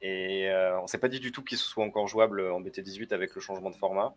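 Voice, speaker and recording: Man with a lowish voice, confident verdicts. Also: fairly even intonation, fast. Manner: confident, sums up verdicts plainly. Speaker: card game panelist. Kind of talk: casual strategy discussion.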